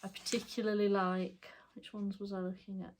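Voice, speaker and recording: A woman's voice: medium pitch, flat delivery, moderate pace, slight background noise. A middle-aged woman with a stuffed-up voice, chatting casually as she shows her cross stitch projects, rambling and correcting herself. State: stuffed up, congested. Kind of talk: casual show-and-tell chat.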